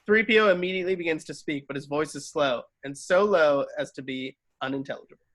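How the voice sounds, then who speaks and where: A man's voice: a little high, fast, fairly bright tone. A man narrating screenplay stage directions in an amateur table read, sometimes stumbling and rereading. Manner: stumbles over words and rereads.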